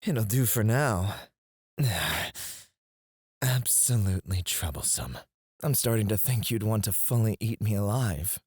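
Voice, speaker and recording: Casting: male actor as cocky fantasy alchemist, lowish voice, sardonic, flirty and goading, close dry ASMR delivery. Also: unhurried, darkish tone, slightly rough, no echo. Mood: sly, flirtatious. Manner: teasing, sardonic, goading with mock politeness. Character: cocky, flirty male royal alchemist taunting his rival. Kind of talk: intimate ASMR fantasy roleplay acting.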